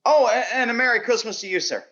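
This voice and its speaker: An actor playing a timid, polite, awkward teacher, hesitant and deferential, in a vintage radio sitcom style. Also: male voice, very high voice, fast talker, pushed and bright, dull audio. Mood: nervous, deferential.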